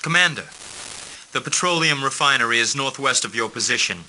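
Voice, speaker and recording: A composed, formal male mercenary liaison from a game briefing. His voice is very bright and sharp, sits at a middle pitch, and stays measured and courteous. A faint hiss runs under the recording. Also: animated, rough recording. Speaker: mission handler.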